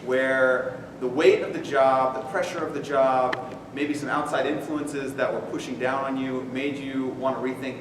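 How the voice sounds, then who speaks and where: A man from Oregon giving a talk to a roomful of school principals without a microphone, loud and engaging, asking the audience to raise their hands. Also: medium pitch, steady tone, normal speed, few pauses. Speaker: speaker addressing school principals. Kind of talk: conference talk to a live audience. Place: large echoey conference room. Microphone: no microphone, recorded from far off. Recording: echoey hall, distant and noisy.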